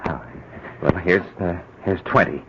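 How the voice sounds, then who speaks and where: An actor playing a nervous husband hiding a crime, stammering and flustered in a 1940s radio drama. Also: male voice, low-pitched, a little slow, background noise. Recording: muffled old radio broadcast.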